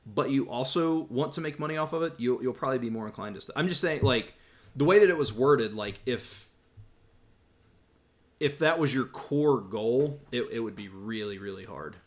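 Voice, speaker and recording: A man's voice: mid-range voice, normal speed. Rambling young man. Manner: rambling, many restarts and fillers, reads chat aloud. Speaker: YouTube host answering viewer questions. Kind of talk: casual livestream Q&A.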